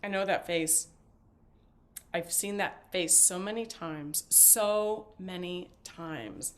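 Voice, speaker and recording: A woman acting a talkative, evasive and devoted mother in a stage play, with a halting, theatrical delivery. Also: fairly low pitch, animated. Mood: rambling, indignant. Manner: halting, rambling, theatrical emphasis. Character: talkative, evasive mother and grandmother, fiercely devoted, just paroled.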